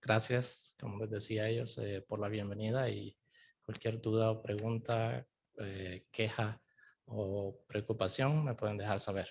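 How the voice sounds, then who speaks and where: A man's voice: fairly low pitch, fairly even intonation, normal speed, gravelly. A young man from El Salvador, a native Spanish speaker, introducing himself as a code officer at a town council meeting, with lots of hesitant filler sounds.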